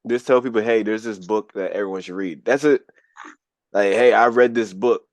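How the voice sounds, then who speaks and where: A man's voice: fairly low pitch, moderate pace, no echo. A young American man co-hosting a podcast, talking bluntly and profanely in a casual chat. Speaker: podcast co-host.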